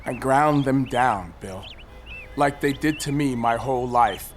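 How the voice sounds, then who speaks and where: An actor playing a bitter, unhinged killer in an audio drama, brooding and sardonic in a theatrical way. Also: male voice, mid-range voice, a little slow, a little hiss or hum, lightly acted. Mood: sardonic, resigned. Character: bitter, unhinged male killer taunting a policeman from a ledge. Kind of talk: modern audio drama acting.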